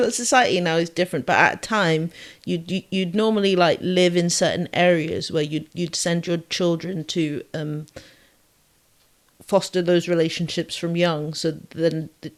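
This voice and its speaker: A woman's voice: lowish voice, a little slow, darkish tone. A woman born in Jamaica and raised in the south of England, with a southern English accent, chatting casually and thinking aloud with restarts. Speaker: podcast co-host. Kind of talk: casual conversational chat.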